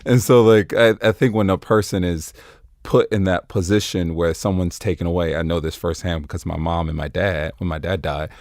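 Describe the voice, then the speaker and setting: A man speaking casually and haltingly as a panelist discussing a play. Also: low-pitched, steady tone, darkish tone, dry recording, studio quality.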